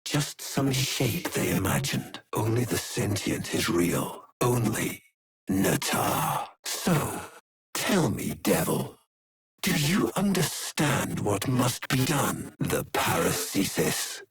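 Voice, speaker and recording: An actor playing an imperious, bitter, tormented aristocratic game villain, theatrical and breathy, lingering on his words. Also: mid-range voice, sing-song, slow, soft background music, full character voice. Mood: grave, urging. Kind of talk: dramatic video game villain acting.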